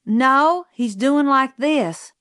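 Woman voicing an earnest Southern boy, high-pitched. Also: lively intonation, drawn-out words, fairly bright tone, no echo, clean studio sound, heavily acted. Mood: earnest, worried. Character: earnest Southern boy, older brother, worried and stubborn. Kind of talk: audiobook character voice.

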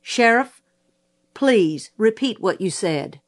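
A woman's voice: medium pitch, animated, slow. A woman voicing a courteous but persistent male lawyer in an audiobook, with pointed, probing questions. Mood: probing, measured. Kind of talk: audiobook character voice.

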